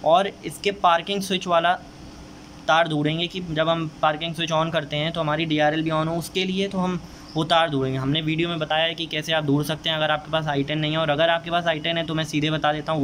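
A man's voice: fairly high pitch, fairly bright tone, a little hiss or hum. A young man casually explaining a DIY car wiring job step by step, talking to viewers like friends while filming on a phone beside his car. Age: young adult. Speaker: DIY car modification vlogger. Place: outdoors beside a car, at the engine bay. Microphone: handheld phone camera.

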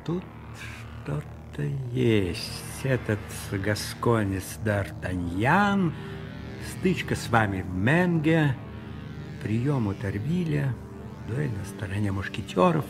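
A man playing an imperious, scheming French cardinal in a radio drama, commanding and deliberate. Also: mid-range voice, very animated, vocal fry, full character voice. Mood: coldly calculating. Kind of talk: radio play acting. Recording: music behind the voice.

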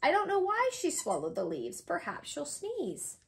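A woman around her thirties reading a children's picture book aloud, expressive and sing-song, with drawn-out pauses for young listeners. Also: high voice, creaky. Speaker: read-aloud host, tutor. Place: small indoor room with bookshelves.

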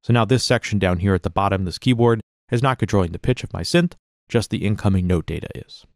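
Fast-talking low-voiced male tutorial instructor. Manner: conversational, explanatory. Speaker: music production tutorial instructor. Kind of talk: casual software tutorial narration.

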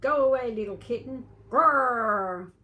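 A woman voicing a big, gruff, threatening storybook dog with growls and barked commands. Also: fairly high pitch, lively intonation, slow pace, pushed and bright, pitched above the performer's own voice, full character voice.

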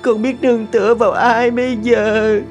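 A man voicing a gentle, grieving young man in a cartoon folk tale, tender and plaintive. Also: very high-pitched, little pitch movement, moderate pace. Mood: tearful grief. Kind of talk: animated fairy-tale dubbing. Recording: music under the voice.

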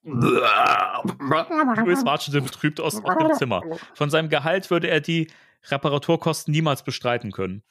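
A man's voice: fairly high pitch, big pitch swings, fairly quick, a bit bright, dry recording, clean studio sound. A man with a German accent, co-hosting a podcast, reading a story playfully with dramatic emphasis and joking asides. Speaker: podcast co-host reading a film novel aloud.

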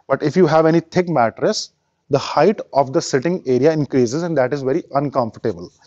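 An Indian man in his thirties talks through a product in a measured, explanatory way. His voice sits at an ordinary middle pitch. The sound is dry and a little dull, as if caught by the camera a few steps away. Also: darkish tone, dry recording. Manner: explanatory, measured, sales-minded. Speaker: furniture company presenter. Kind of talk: product walkthrough. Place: large furniture showroom indoors.

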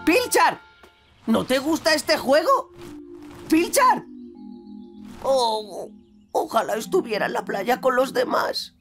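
A woman with a Castilian Spanish accent voicing a childlike, playful, needy male digger in a children's cartoon, exaggerated and expressive. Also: high voice, takes it slowly, long pauses, faint music behind, full character voice. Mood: whiny, bored.

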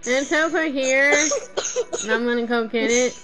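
A woman's voice: high voice, moderate pace, a bit bright, faint music behind, poor audio. A woman livestreaming a video game, bantering casually with mock-annoyed, sweary comebacks. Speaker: game streamer. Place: voice chat over a game stream.

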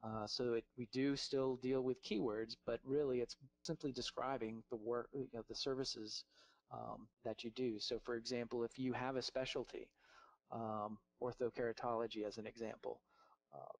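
A man's voice: medium pitch, fairly even intonation, normal speed, creaky, no room sound. A man giving a marketing webinar over slides, talking off the cuff with many fillers and restarts. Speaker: marketing presenter.

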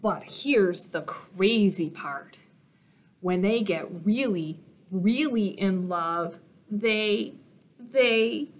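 Woman as a slow, know-it-all storybook bunny. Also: medium pitch, highs cut off, voice pushed down. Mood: building suspense. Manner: explanatory, emphatic. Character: know-it-all picture-book bunny explaining love.

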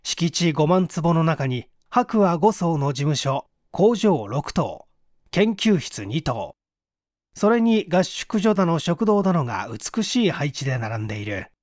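Male storyteller for mystery audiobook: measured, expressive, wide intonation, dry recording. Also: on the high side, no echo. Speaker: audiobook reader. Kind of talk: scripted storytelling narration of a Japanese mystery novel.

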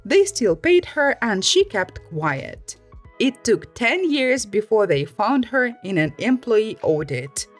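A woman's voice: on the high side, sing-song, slow pace, soft background music. A woman reading online stories aloud as a host, in scripted storytime narration.

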